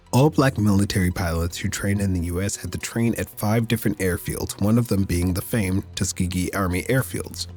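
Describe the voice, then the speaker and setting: A man hosting a podcast, reading history segments and then chatting casually, his voice raspy and a little squeaky from illness. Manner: steady factual reading. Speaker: podcast host.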